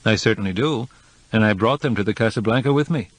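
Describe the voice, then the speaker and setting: A man's voice: lowish voice, fast, no echo, natural voice, low-quality recording. A man voicing a courteous, inquisitive newspaperman with a dry manner. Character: curious middle-aged male newspaperman.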